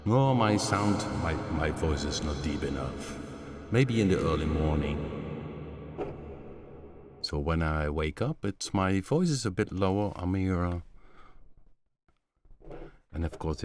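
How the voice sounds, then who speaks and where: Deep-voiced man demoing synths. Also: no echo, soft background music. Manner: relaxed, halting, many fillers, slightly non-native phrasing. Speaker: synth developer hosting a live stream. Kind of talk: casual live-stream demo. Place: home studio, indoors.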